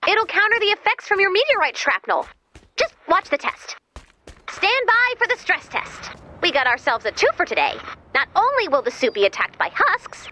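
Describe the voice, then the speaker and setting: A woman's voice: high voice, sharp tone, slight background noise, exaggerated voice. A woman playing an enthusiastic, quippy, teasing female engineer who guides missions in a video game. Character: peppy, geeky female engineer guiding a mission. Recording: game music behind the voice.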